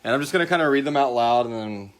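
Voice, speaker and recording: A man's voice: mid-range voice, very fast. Easygoing man hosting a casual group chat. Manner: informal, rambling, sweary, easily amused. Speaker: host of a live question-and-answer special for an audio drama. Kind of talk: casual chat, reading out listener questions. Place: live stream with a group of castmates in the room.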